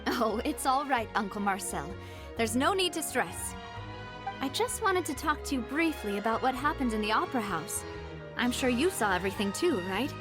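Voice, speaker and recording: High-voiced, determined young investigator. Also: female voice, big pitch swings, normal speed, music in the background. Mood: earnest, resolute. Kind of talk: video game voice acting.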